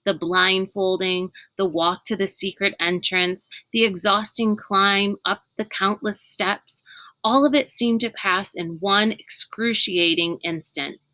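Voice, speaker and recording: Bright-voiced woman reading a storybook, unhurried. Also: mid-range voice, fairly even intonation, dry recording. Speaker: storybook reader. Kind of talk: scripted narration, reading a children's novel aloud.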